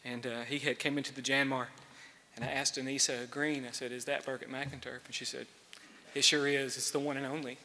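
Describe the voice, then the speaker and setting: Male, clear-cut bright tone at medium pitch. Tribute speaker in a big reverberant room. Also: little pitch movement, a little fast. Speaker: biographer giving a memorial tribute. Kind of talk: memorial speech with personal anecdote and reading. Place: large hall, speaking to a gathering. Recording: echoey hall with long reverb.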